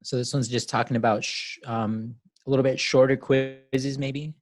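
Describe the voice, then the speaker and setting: A man moderates an online meeting in a casual, halting way. His pitch sits in the middle and the sound is dry, with little room in it. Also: steady tone, no room sound. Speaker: moderator of a student open forum. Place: online video call.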